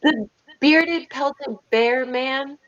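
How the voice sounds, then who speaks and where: Slow-talking woman chatting over a game. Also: high-pitched. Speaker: player in a tabletop game. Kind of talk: casual game chat. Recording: dull lo-fi online call, background noise.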